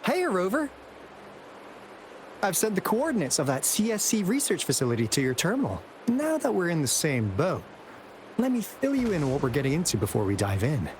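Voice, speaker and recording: Playful, theatrical young man, very animated. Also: fairly high pitch, a little fast, slight background noise. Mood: upbeat, eager. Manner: dramatic storytelling, teasing. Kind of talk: video game character dialogue.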